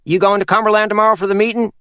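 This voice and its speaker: A man's voice: sits high, level tone, very fast, fairly bright tone, clear, resonant tone, no room sound, studio quality, pitched above the performer's own voice. A man voicing a shrewd, bossy older uncle from who orders people around. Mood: commanding. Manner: commanding, scolding.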